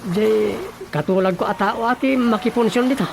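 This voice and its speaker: A man's voice: sits high, normal speed, creaky, music in the background. An actor playing a plain-spoken, inquisitive village storekeeper man in an Ilocano radio drama comedy, with an Ilocano accent. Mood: curious.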